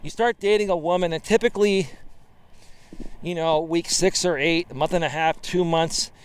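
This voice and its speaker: A man in his forties with a smooth, clear voice, pitched a little higher than most men. He talks like a coach, emphatic and driving, and keeps checking in with "right?" as he walks a trail outdoors. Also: fairly even intonation, normal speed. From Bay Area, California. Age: 40s. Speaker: dating coach. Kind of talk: walking vlog monologue.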